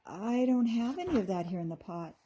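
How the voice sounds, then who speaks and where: A woman voicing a kindly little fairy girl in a storybook, gentle and reassuring. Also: medium pitch, very animated, fairly quick, husky. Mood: thoughtful.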